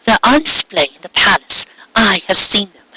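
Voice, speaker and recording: A man's voice: high-pitched, lively intonation, moderate pace, sharp tone, a little hiss or hum, pitched above the performer's own voice, exaggerated voice, poor audio. A man voicing a young female pony who objects in a matter-of-fact, certain way in a story.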